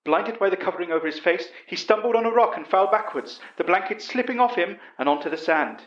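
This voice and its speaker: An earnest old English professor , played by a man, recounts a monster tale in a fairly high voice. It is first-person horror audio drama with a roomy, slightly muffled sound. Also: animated, brisk, fairly bright tone. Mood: urgent.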